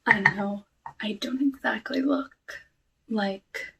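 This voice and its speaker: A woman acts out a cursed witch of the woods in a hushed ASMR roleplay. The witch speaks very slowly and softly, slipping into whispers, with a wistful, genteel and quietly sinister air. Loud noise, clicks and clinking dishes sit around the voice. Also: a little high, vocal fry. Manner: soft, unhurried, wistful, genteel, drawn-out phrasing. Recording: noisy, with clicks and dish clatter.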